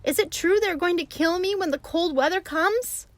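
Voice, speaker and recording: A woman voicing a frightened young male pig who wails and pleads in a high, loud voice while reading a storybook aloud. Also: normal speed, dry recording. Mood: panicked. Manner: shrill, sobbing pleas.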